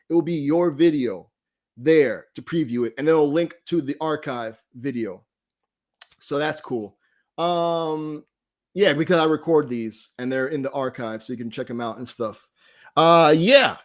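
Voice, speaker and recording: A man hosting an online study group, casually explaining website features in an informal, laid-back, conversational way. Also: on the high side.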